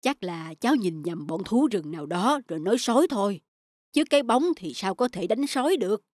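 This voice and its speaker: A woman voicing a kindly, wise monkey uncle who gives gentle advice in an animated fairy tale. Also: medium pitch, brisk, dry recording, studio quality, lightly acted. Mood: gently advising. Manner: gentle, advising.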